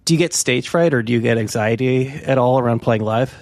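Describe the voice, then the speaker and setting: A man's voice: mid-range voice, brisk, a little mellow, dry recording. Male podcast host, conversational, dead-dry sound. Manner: conversational, shares own experiences. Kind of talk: casual interview conversation.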